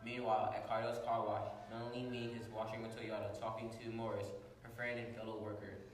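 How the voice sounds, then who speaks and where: A man's voice: lowish voice, level tone, a little fast. A man reading scripted dramatic narration as the announcer of an amateur radio drama. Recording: very echoey hall, distant mic, clear noise.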